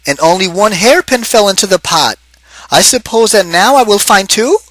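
A man voicing a flustered old countrywoman with a high, shrill, exclaiming voice. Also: expressive, normal speed, a bit bright, no echo, low-quality recording. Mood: wondering.

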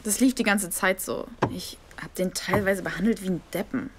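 A woman's voice: medium pitch, moderate pace, background noise, close to an everyday voice. An actress playing a blunt, sardonic young woman recounting events in an audio drama. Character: young woman Emilia, cheeky trainee. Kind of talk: radio drama acting.

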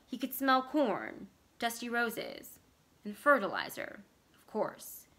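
A middle-aged woman narrates a story, reading it aloud. Her voice sits a little high and has a bright, sharp tone. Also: huge pitch swings, takes it slowly. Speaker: library staff reader. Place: small furnished room indoors.

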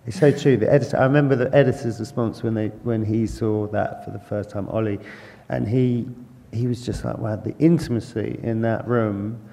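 Gravelly low guy, mellow tone, telling a story at a panel, hall reverb. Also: steady tone, normal speed, hardly any pauses. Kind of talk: panel discussion. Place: large hall at a panel table.

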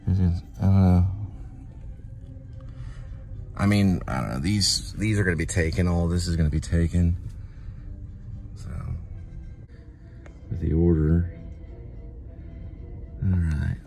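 A man with a drawl talks in a very deep voice as he makes his delivery rounds, rambling and trailing off as he goes. Road and vehicle noise carries clearly around him. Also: mellow. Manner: rambling, offhand, trails off and repeats himself. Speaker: vlogger and delivery driver. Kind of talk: casual talk to camera.

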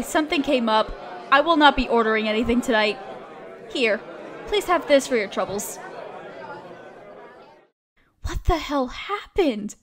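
A woman acting as a caring, protective best friend in an audio roleplay, speaking casually and emphatically. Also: high-pitched. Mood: puzzled, reassuring, then anxious. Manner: expressive, emphatic, casual with mild swearing.

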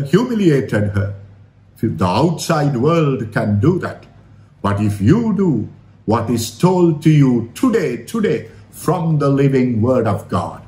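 An earnest male preacher delivers a sermon at an unhurried pace. His voice sits fairly high and rises and falls widely as he exhorts and repeats phrases for emphasis, with a roomy echo around it. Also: a little mellow. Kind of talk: sermon retelling a Bible story. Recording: roomy, echoing sound.

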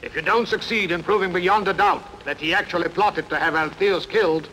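An actor playing an anxious, cautious counselor of an ancient kingdom, admonishing and theatrical in a noisy film dub. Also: male voice, sits high, fairly quick, pushed and bright. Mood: warning. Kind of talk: dubbed sword-and-sandal film acting. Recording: noisy fan-dubbed film soundtrack.

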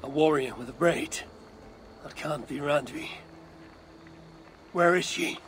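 A woman's voice: sits low, takes it slowly, soft background music. An actress playing a resolute, wry warrior woman in a video game, speaking tersely and steadily. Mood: dry, questioning. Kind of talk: dramatic video game cutscene acting.

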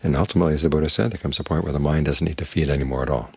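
An older man teaching as a Buddhist monk, his voice very low and strongly husky. He speaks calmly and plainly, and the recording is close and dry. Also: racing, dry recording. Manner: calm, plain-spoken, uses homely images. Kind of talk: evening dharma talk.

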